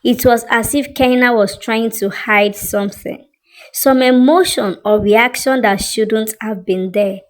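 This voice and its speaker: A woman narrating a scripted dramatic story in an expressive, suspenseful way. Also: a little high, unhurried, poor audio.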